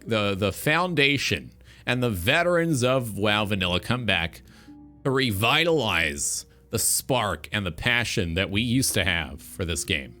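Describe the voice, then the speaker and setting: A man in his twenties delivers a reflective talk show monologue in a bright, forward voice. He sounds earnest and philosophical, often circling back to repeat phrases. Music plays faintly underneath. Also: lowish voice. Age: 20s. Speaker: talk show host, game streamer.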